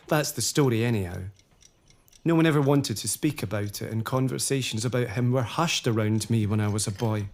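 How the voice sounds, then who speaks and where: An actor playing a gentle, indulgent gentleman husband in a period audio drama. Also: medium pitch, close to an everyday voice. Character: easygoing gentleman husband of the lady.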